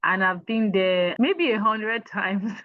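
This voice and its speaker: A woman's voice: mid-range voice, slow, no room sound, narrow, tinny audio. Earnest, upbeat Nigerian-born woman. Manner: earnest, upbeat, laughs easily. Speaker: emotional wellness coach, guest. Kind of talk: casual interview chat.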